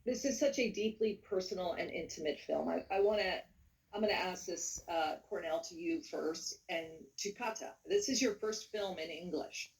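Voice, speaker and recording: A woman's voice: on the low side, normal speed. Moderator woman, distant video-call voice. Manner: warm affirming listener, frequent mm-hmm. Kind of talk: moderating a panel Q&A.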